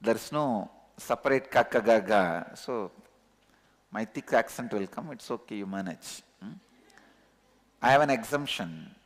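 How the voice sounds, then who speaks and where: Slow, reverberant Tamil-accented man teaching. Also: fairly low pitch, expressive. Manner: earnest, repetitive and emphatic. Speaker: spiritual teacher. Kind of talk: spiritual discourse. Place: large satsang hall. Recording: long reverb of a large hall.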